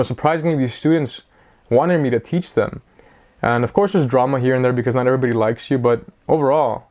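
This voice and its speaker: A man in his twenties chats at an ordinary middle pitch, wandering off on tangents. He is casual and a little self-mocking, like a vlogger talking straight to his audience. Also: darkish tone. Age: 20s. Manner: rambling, self-mocking, chatty. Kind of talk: casual talk to camera.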